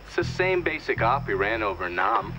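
An actor playing a blunt, foul-mouthed, cynical American ex-CIA operative testifying in a video game cutscene. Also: male voice, fairly low pitch, very animated, moderate pace, lo-fi sound. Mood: scornful, defiant. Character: brash, foul-mouthed, unrepentant ex-CIA operative testifying, middle-aged man.

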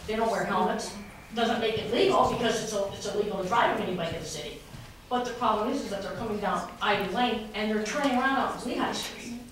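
A woman's voice: medium pitch, fast. A woman making a public complaint at a city council meeting, with many restarts, growing more heated. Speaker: resident speaking at public comment. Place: large wood-paneled council chamber. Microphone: podium mic recorded by a far camera. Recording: echoey chamber from far off, noisy.

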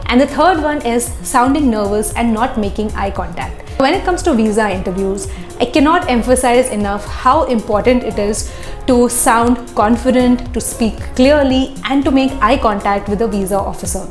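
Young female coach, clear and steady, higher voice, lapel mic in a roomy office. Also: moderate pace, soft background music. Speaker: visa interview coach. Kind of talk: advice video to camera. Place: office with glass walls.

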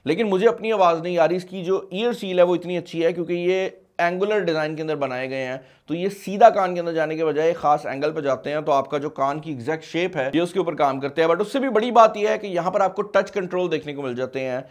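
Male tech video host, 20s, high voice, Pakistani accent, emphatic and chatty, slips English into a casual review. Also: fast, hardly any pauses. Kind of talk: casual product review mixing in English phrases. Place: small indoor studio at a desk.

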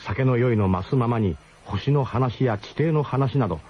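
A man's voice: mid-range voice, normal speed. A man narrating a comic radio drama as scripted storytelling, with a mock-solemn, literary delivery. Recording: muffled 1980s radio broadcast, faint noise.

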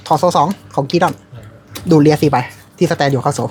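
A woman's voice: low voice, steady tone, a little fast, darkish tone. A woman playing a card game at a shop table, calling out her moves and damage numbers in short bursts. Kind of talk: casual play-by-play at a card table. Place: comic shop gaming table.

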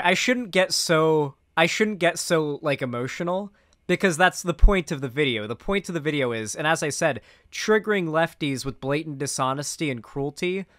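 Sardonic young man, higher-pitched, dry close sound. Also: fairly bright tone, dry recording. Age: young adult. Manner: halting, repetitive, sardonic, sweary. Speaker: streamer reacting to a video. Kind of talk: off-the-cuff political reaction commentary. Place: indoor streaming room.

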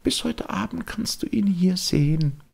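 A man voicing a gentle, teasing young fairy woman in a storybook reading, soft, patient and sisterly, with a light breathy voice. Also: high-pitched, unhurried, pitched above the performer's own voice, exaggerated voice. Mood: tender. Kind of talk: audiobook storytelling.

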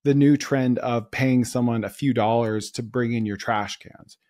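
A man hosting a business podcast, asking quick probing questions and thinking aloud about the idea. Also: medium pitch, fairly even intonation, a little mellow. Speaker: podcast host. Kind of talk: casual interview chat.

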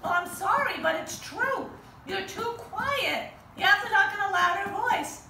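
Lady playing a bossy blunt girl puppet, real high bright voice, kids show, bit far from mic. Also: expressive, a little slow, heavily acted. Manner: didactic, blunt, exasperated. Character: bossy, frank girl puppet in charge of auditions. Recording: roomy, distant mic, faint noise.

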